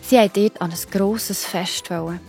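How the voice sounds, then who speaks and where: A woman narrates a children's story in a Swiss German accent. Her voice sits at a medium pitch, and she explains things simply and expressively over soft music. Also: steady tone, moderate pace, vocal fry.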